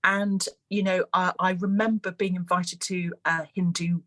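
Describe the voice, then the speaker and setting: A woman from Malawi, a school head on a webinar panel, speaking earnestly and articulately with emphatic repetition in a husky voice. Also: lowish voice, flat delivery, a little slow, a bit bright. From grew up in Malawi as an expatriate. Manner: earnest, emphatic, articulate, repeats words for stress.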